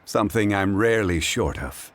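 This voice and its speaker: An actor playing a composed, dignified gentleman scholar in a video game, speaking formally and courteously. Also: lowish voice, normal speed, very noisy, lightly acted. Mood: calm, courteous. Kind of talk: video game character acting.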